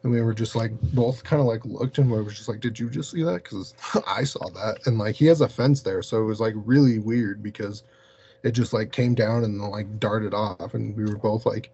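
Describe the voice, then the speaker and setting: A man's voice: fairly low pitch, moderate pace, warm tone, husky. A man who is an interview guest, telling anecdotes casually in a rambling way and saying "like" very often. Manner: rambling, very frequent "like". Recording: muffled lo-fi audio, hum and hiss.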